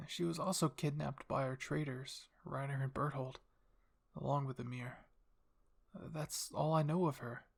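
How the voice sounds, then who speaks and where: An earnest young woman soldier, acted out by a male narrator in an audio story. The dutiful daughter sounds hesitant, with a husky edge to the voice. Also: mid-range voice, fairly even intonation, a little slow.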